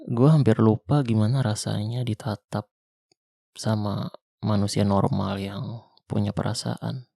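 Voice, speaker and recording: Guy doing a tired ex-soldier survivor, jakarta slang, slow gravelly half-whisper, dark and dry close up. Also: on the low side, fairly even intonation, no echo. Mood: tender. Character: weary male ex-soldier survivor, tender protector. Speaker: ASMR roleplay voice actor. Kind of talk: ASMR boyfriend roleplay. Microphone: close mic.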